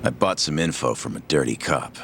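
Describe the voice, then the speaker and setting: A very deep, gravelly gangster, bitter and defiant, loyal under the hurt. His delivery is forceful and theatrical, in the manner of a crime video game. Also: very animated, brisk, slight background noise, heavily acted. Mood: subdued, resigned. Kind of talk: English video game dub, dramatic acting.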